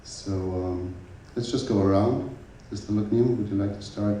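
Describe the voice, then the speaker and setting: Deep, flat-voiced monk in an echoey hall. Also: male voice, normal speed, far from the mic, a little hiss or hum. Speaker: Buddhist monastic, moderator. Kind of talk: moderating introductions.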